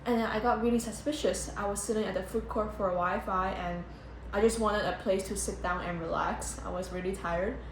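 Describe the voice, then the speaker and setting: A young woman vlogging casually as she tours a budget hotel, chatty and excitable, full of "like"s and giggles, filmed on a handheld phone. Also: medium pitch, brisk. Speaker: travel vlogger. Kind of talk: casual travel vlog. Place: budget hotel room and entrance. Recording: echoey room with loud background noise.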